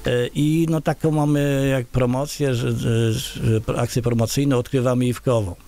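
A man's voice: mid-range voice, steady tone, normal speed, mellow. Hesitant middle-aged man in a radio studio. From Poland. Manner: hesitant, many filler sounds and restarts. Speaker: village head, interview guest. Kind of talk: radio studio interview answers. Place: small radio studio, indoors. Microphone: large studio microphone on a boom arm.

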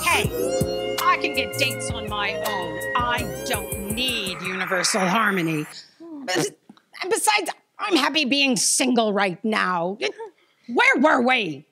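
A woman's voice: a little high, big pitch swings, slow, over loud music, exaggerated voice. An actress playing an impatient, prickly woman boss with a commanding, clipped manner in a staged audio drama. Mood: defensive. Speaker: stage actor. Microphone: stand microphone on stage.

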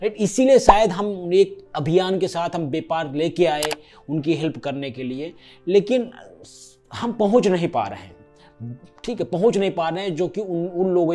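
Higher-pitched, mellow Indian man, earnest explainer. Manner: earnest, repetitive, punctuates with tag questions. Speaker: app founder and video host. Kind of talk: direct-to-viewer explainer and promotional appeal. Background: background music.

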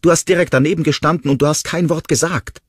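Ronnie: ein Mann spricht eine junge, wütende Frau. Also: male voice, steady tone, fast, slightly rough, no room sound, studio quality. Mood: furious. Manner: shrill, pleading outbursts. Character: young woman, hurt and furious.

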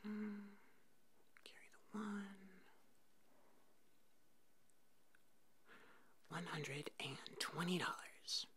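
A woman voicing an eccentric, bumbling puppet monster hairdresser in a halting, breathy whisper for an ASMR roleplay. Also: fairly low pitch, a bit bright, slight background noise. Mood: sheepish. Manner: halting, hesitant, slow.

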